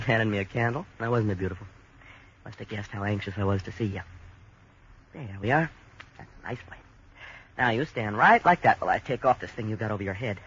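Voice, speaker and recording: A husky young American man in a 1940s radio horror play. His voice rises and falls widely and he stammers in slang. It comes through a dull, lo-fi broadcast. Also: lowish voice, a bit bright, heavily acted. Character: young American man at a masked ball, eager then terrified. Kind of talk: 1940s radio drama acting.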